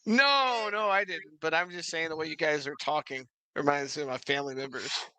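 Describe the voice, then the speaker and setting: A man's voice: on the high side, fairly quick, pushed and bright, smooth, dry recording. A man in casual livestream banter, wry and prone to repeating his phrases.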